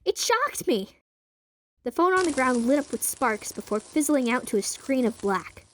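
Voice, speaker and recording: A woman's voice: sits high, animated, moderate pace, a bit bright, a little hiss or hum. A young adult woman reading scripted narration for an audio drama.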